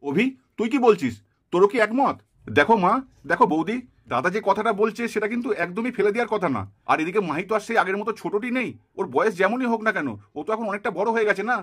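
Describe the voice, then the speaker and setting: A man's voice: sits high, expressive, fast, a bit bright. A man voicing an insistent village family man in a puppet drama. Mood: firm. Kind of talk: dramatized Bengali puppet-show dialogue.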